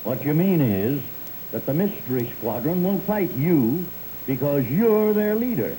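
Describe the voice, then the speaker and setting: Stubborn injured boss, 1930s serial style, dark tone, faint tape hiss. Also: male voice, on the high side, sing-song, measured pace, poor audio. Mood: accusing. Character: stubborn older male dam contractor, bedridden. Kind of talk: 1930s film serial acting. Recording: hissy old VHS film transfer.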